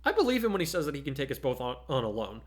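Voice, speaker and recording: A man voicing a nervous, excitable teenage boy superhero with a whiny, hesitant manner. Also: medium pitch, racing, natural voice. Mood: intimidated.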